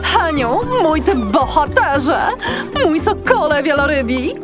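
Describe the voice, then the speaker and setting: A woman voicing a young female seal in a children's audiobook, adoring and excitable, with gushing, theatrical delivery. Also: high-pitched, takes it slowly, loud music behind the voice. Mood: delighted, admiring. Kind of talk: audiobook character acting.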